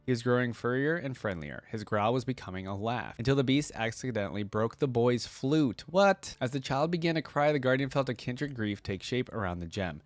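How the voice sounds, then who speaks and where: A man's voice: medium pitch, lively intonation, brisk, few pauses, no room sound. A man reading a story aloud expressively and breaking off into casual, slangy commentary as a reaction video host.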